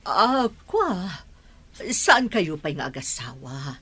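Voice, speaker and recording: A woman playing a skeptical but tolerant middle-aged mother in an audio drama, with a husky voice. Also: deep voice, sing-song, slow pace, sharp tone, exaggerated voice. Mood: puzzled, skeptical.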